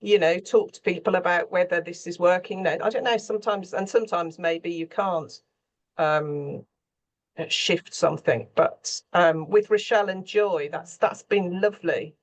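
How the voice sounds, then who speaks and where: A woman's voice: on the low side, moderate pace. A woman speaking off the cuff as a scriptwriter in a panel conversation, often searching for her words.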